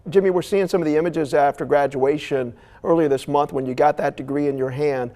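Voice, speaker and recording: Higher-voiced American male news anchor. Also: fairly even intonation, brisk, mellow. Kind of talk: broadcast news reading and live interviewing.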